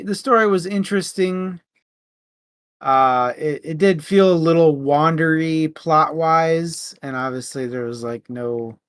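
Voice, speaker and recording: A man co-hosting a casual book discussion, speaking in a tentative, halting way. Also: a little high, fairly bright tone, dry recording. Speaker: podcast co-host.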